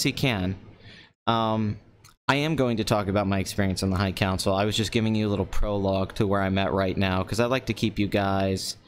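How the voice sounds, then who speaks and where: A man in his twenties from Pennsylvania, hosting a live stream alone in an empty apartment and talking low and calm into a microphone with a flat, deadpan delivery. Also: slight background noise. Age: 20s. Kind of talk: casual solo live-stream talk. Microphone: desk microphone.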